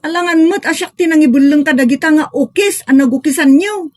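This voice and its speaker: Woman acting a high-voiced, plaintive Ilocano daughter. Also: fairly quick, natural voice. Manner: complaining, expressive. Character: plaintive, cheeky young daughter scolding her father. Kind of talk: comedic audio drama acting.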